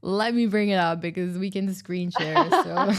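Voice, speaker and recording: A woman's voice: mid-range voice, measured pace, background noise. A woman hosting a livestream in casual chat, giggly and laughing often. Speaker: livestream host.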